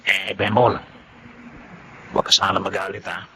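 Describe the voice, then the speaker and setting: A man playing a chatty, concerned working-class pal with a Filipino accent, folksy and animated in a radio drama style. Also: low-pitched, normal speed, vocal fry, slight background noise, exaggerated voice. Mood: concerned, urgent. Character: chatty, concerned male jeepney-terminal buddy.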